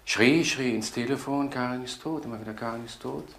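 An actor playing a defensive, self-important male judge, halting and evasive, in a German radio crime drama. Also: mid-range voice, gravelly, fairly bright tone. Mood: evasive, nervous. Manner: evasive, halting, repeats questions indignantly. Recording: roomy, faintly noisy radio recording.

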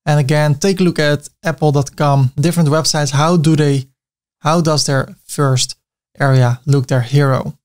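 A man's voice: on the high side, fairly even intonation, moderate pace, darkish tone. A man with a Dutch accent giving a chatty screen-recorded web design tutorial, with self-mocking asides.

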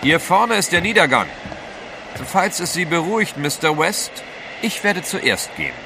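An actor playing a determined, polite gentleman adventurer leading a polar expedition in an audio drama. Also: fairly high pitch, very animated, measured pace. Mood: calm, determined. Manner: polite, decisive. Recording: clear outdoor background noise.

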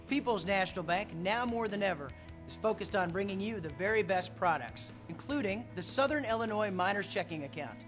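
A man in his thirties reading a scripted bank commercial as its spokesman. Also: sits high. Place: office with bookshelves. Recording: music under the voice.

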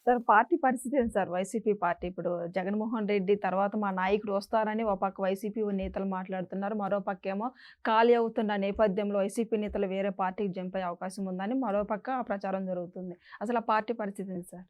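A woman's voice: medium pitch, fairly even intonation, fast talker, muffled recording. A woman acting as a news interviewer, putting questions in a formal, deferential way.

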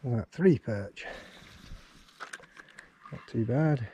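A man talks in a casual, low-key way, like an angler keeping a video diary. His voice is soft-edged and mellow, at an ordinary male pitch. He is speaking outdoors on a riverbank. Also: lively intonation, extremely slow, muffled recording, a little hiss or hum. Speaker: angler presenting a fishing diary. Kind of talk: casual outdoor vlog chat.

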